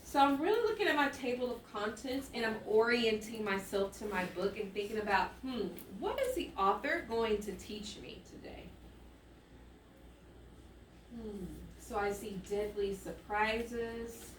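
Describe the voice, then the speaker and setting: A roomy, slightly noisy recording catches a woman at some distance from the mic. She is an elementary teacher leading a read-aloud for children. She muses out loud as she goes and keeps checking that her listeners follow. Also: on the high side, expressive, moderate pace, hardly any pauses. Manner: thinking aloud with musing hums and exclamations. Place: classroom with echo.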